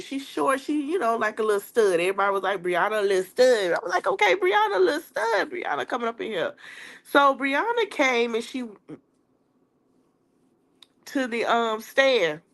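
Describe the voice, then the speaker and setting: A woman's voice: on the high side, expressive. A woman podcast host with a stuffy nose, recapping a trial in casual chat and calling her viewers y'all. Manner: chatty, drawn-out, addresses viewers as y'all.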